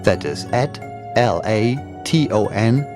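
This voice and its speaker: Guy doing a podcast outro, really varied intonation, music loud behind him. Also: lowish voice, lively intonation, slow pace. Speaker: podcast host, composer. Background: prominent music.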